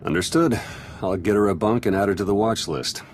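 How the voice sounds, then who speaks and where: A man playing a sober, conciliatory officer in a video game, with a restrained, measured delivery. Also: fairly low pitch, heavy background noise, lightly acted. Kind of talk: video game cutscene acting. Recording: loud music over dialogue.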